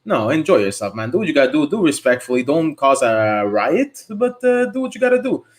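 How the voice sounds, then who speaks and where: Excited guy on a podcast going on about football, casual. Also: on the high side, brisk. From Canada. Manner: animated, enthusiastic football talk. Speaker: podcast co-host. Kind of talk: casual chat.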